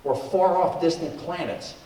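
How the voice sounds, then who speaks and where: A man's voice: fairly high pitch, normal speed, dark tone. A man hosting a live show with a scripted, theatrical introduction. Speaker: live show host and performer. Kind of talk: scripted stage introduction and comic patter. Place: live in a studio before an audience. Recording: roomy, distant microphone, noisy stage recording.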